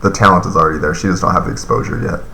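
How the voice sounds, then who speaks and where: A man's voice: low voice, fairly even intonation, very fast, gravelly, sharp tone, echoey room, a little hiss or hum. A man in his thirties chatting casually on a live stream, reading and answering viewer comments in a loose, rambling way with lots of fillers. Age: 30s.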